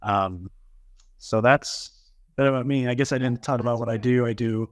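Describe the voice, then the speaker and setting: A man's voice: medium pitch, moderate pace, dry recording, studio quality. A man from New Jersey as a podcast guest, telling his life story casually with lots of fillers. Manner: relaxed, filler-heavy storytelling. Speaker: interview guest. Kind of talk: casual interview chat.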